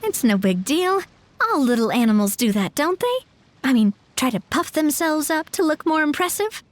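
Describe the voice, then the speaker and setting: A gracious young company president for a video game. Her voice is high and melodic, her manner polite, composed and lightly teasing. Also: big pitch swings, moderate pace. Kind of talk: animated video game acting.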